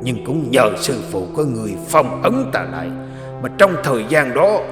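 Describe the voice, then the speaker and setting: A man's voice: mid-range voice, big pitch swings, normal speed, natural voice. A man voicing a vengeful evil spirit in a horror story, taunting and menacing in a theatrical way. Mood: gloating. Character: vengeful gloating evil spirit freed from a seal. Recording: echoey long reverb with clear music.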